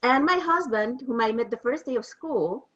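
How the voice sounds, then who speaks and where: A fifty-year-old woman from Mexico presents informally, explaining things as an interpreter would to trainees. Her English carries a Mexican accent from her native Spanish. The voice sits a bit high, and the recording is slightly muffled and lo-fi. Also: lively intonation, normal speed, a bit bright.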